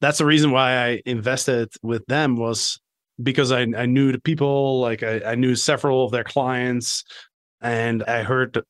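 A man's voice: medium pitch, steady tone, measured pace, fairly bright tone, no echo. A man hosts a podcast conversation in a loose, chatty way, full of fillers. His voice sits in a middle range and is recorded dry and close. He shares his own experience before turning to his guest with a question. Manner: casual, many fillers like "like" and "right". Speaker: podcast host. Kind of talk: podcast interview.

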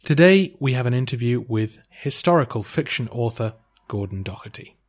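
Male podcast host, measured scripted delivery, average pitch. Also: lively intonation. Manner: measured, occasional um and uh. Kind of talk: scripted podcast intro and interview.

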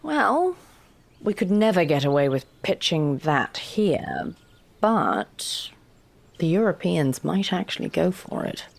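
An actress playing an anxious, blunt, sharp-witted writer in a naturalistic audio drama, with a dry, fretful manner. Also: female voice, lowish voice, big pitch swings, slow pace, gravelly, heavily acted. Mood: wry. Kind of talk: naturalistic audio fiction acting.

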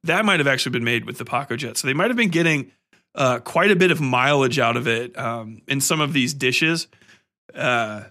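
A man hosting a podcast, giving casual, rambling film commentary in an excitable, emphatic, sweary way. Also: medium pitch, moderate pace. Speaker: podcast host.

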